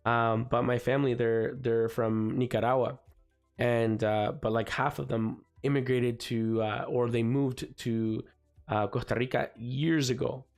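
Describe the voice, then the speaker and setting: A man with a slightly raspy voice from the cold hosting a live trading stream, chatting casually and filling gaps with "guys" and "you know what I mean". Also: medium pitch, steady tone, unhurried. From Canada. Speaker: forex trading livestream host. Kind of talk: live market commentary. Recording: faint music behind.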